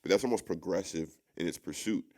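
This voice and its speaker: A man's voice: low voice, brisk, a little mellow. A man co-hosting a casual discussion, arguing his points in a rambling, emphatic way. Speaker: podcast co-host.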